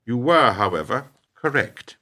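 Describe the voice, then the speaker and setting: A man's voice: mid-range voice, sing-song, measured pace, bright, forceful tone. An actor playing a ruthless, self-justifying middle-aged businessman in a radio play, speechifying with smug emphasis. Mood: smug, lecturing. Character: ruthless, self-justifying businessman betraying his lifelong partner.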